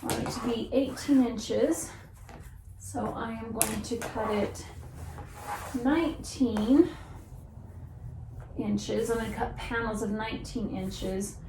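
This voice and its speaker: A woman's voice: fairly high pitch, steady tone, slow pace, gravelly, pauses often, echoey room, background noise. A middle-aged woman chatting casually in a vlog, thinking aloud in an unscripted way. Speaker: vlogger showing family outings and crafts. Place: car, store, home kitchen and craft room.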